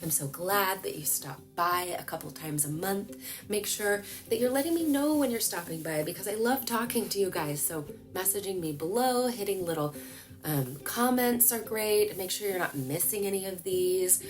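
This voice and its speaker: A woman, giving a lively, chatty voice-over tutorial to camera from a small room at home. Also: medium pitch, normal speed. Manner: lively, chatty, animated hand gestures. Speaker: voice-over coach.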